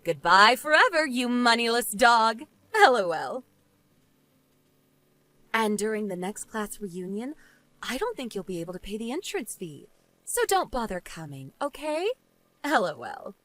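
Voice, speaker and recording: A woman's voice: on the high side, big pitch swings, unhurried, long pauses, pushed and bright, heavily acted. A woman playing a smug, vengeful wife and former bullying victim in scripted story dialogue, taunting and sarcastic. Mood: gloating.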